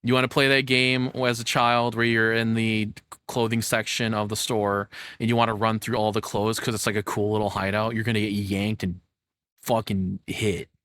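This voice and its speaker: Low-voiced man casually commenting, up close and dry. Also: little pitch movement, moderate pace, fairly bright tone, no echo. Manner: blunt, profane, informal. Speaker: streamer reacting to a video.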